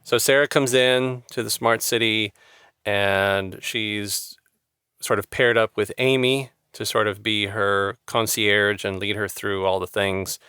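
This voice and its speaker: A smooth, low-voiced male interviewer. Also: steady tone, takes it slowly, pushed and bright, dry recording, clean studio sound. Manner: affirming, with filler-laden questions. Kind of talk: casual interview.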